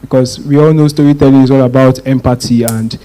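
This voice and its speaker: A man's voice: medium pitch, fairly even intonation, brisk, warm tone, poor audio. A Nigerian man in his twenties politely and somewhat hesitantly asking a question from the audience. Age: 20s.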